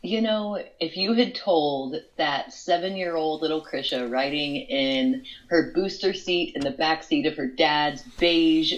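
A woman's voice: sits low, measured pace, few pauses. A woman in her forties, chatting casually at home over a video call, a bit congested with a cold and given to long storytelling asides. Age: 40s. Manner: chatty, storytelling, laughs often. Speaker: co-host. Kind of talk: casual chat. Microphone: smart display video call. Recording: dull, lo-fi video call audio.

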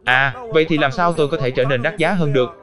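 A sassy talking plant from a cartoon, acted by a man. It rattles along quickly in a slightly high voice, taunting and stubborn. Music plays clearly behind it. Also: lightly acted. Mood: eager. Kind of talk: animated cartoon dialogue dub.

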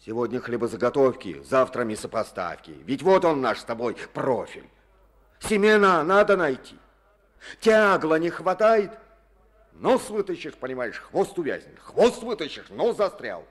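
A man's voice: medium pitch, sing-song, moderate pace. An actor playing a domineering, folksy Soviet party boss who bosses and mocks his deputy in a radio drama. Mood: scornful. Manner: bossy, blustering, folksy. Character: blustering domineering male party district boss.

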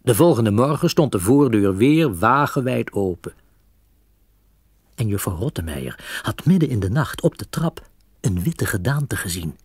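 A man with a husky voice narrating a children's audio story from a script. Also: medium pitch, very animated, unhurried, no room sound, studio quality.

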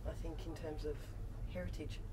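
A woman's voice: sits low, level tone, moderate pace. A woman in casual conversation. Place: small caravan with street outside. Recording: distant mic, clear background noise.

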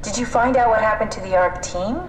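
An actress playing a brisk, matter-of-fact scientist companion in a sci-fi game, with a businesslike way of talking. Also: female voice, mid-range voice, soft background music, close to an everyday voice. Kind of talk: video game voice acting. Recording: echoey, noisy machinery ambience.

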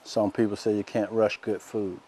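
A soft-spoken middle-aged man, demonstrating a recipe outdoors as a cooking show host in a relaxed, folksy way. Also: lowish voice, normal speed, background noise. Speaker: chef host. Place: outdoors by a lake in woods.

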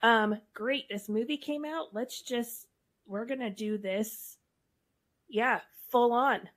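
Wry, animated woman podcast host. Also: on the high side, slow. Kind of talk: casual conversational commentary.

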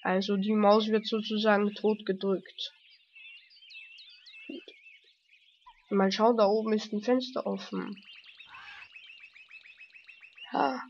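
A woman with a cold, commenting casually on a game she is playing. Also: mid-range voice. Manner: relaxed, slow, chatty. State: has a cold, coughing. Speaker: let's play host. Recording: dull lo-fi home mic with faint noise.

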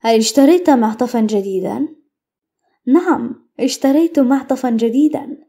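Fast, mellow woman reading translations. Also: sits high. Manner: brisk, clipped reading. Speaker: Arabic translation narrator. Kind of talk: scripted translation narration.

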